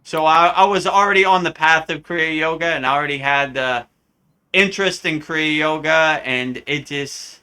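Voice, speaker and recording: A man from the United States, a spiritual teacher telling a story in a live Q&A, animated and often acting out the voices he quotes. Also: on the high side, smooth. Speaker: spiritual teacher answering questions.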